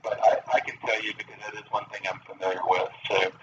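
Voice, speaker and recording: A man speaking as a human resources official on a phone call, halting and careful, with polite phrasing. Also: on the low side, flat delivery, a bit bright. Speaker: school human resources director. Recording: distant, dull, noisy phone line.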